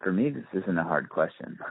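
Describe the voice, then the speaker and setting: A man's voice: low-pitched, gravelly, breathy edge, no room sound. A man giving a casual phone interview as a musician, speaking thoughtfully and hesitantly, often circling back to rephrase. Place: on a phone call. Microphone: telephone. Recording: muffled, dry phone-line audio.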